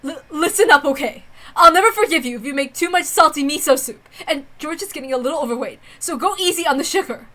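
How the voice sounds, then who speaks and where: Woman as a high, bossy, flustered girl. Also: lively intonation, quick pace, hardly any pauses, a bit bright. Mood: flustered, scolding. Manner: stammering, scolding to hide affection.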